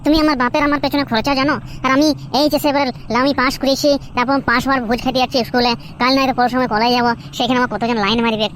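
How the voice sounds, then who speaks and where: A woman voicing a brash, quick-tempered, foul-mouthed teenage schoolgirl who scolds and threatens in a comedy cartoon. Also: high voice, fairly even intonation, a bit bright, slight background noise. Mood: scornful, angry.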